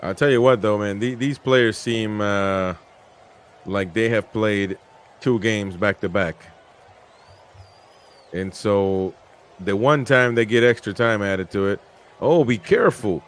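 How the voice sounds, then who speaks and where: A man's voice: on the low side, slow, long pauses. Host: Peru-born man, casual live match chat, reads comments from viewers.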